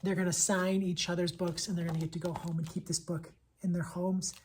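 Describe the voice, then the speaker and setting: A woman's voice: lowish voice, little pitch movement, brisk, darkish tone, slight background noise. A woman who teaches elementary school, giving casual, enthusiastic and emphatic book recommendations, repeating phrases for effect. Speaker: elementary school teacher reviewing picture books.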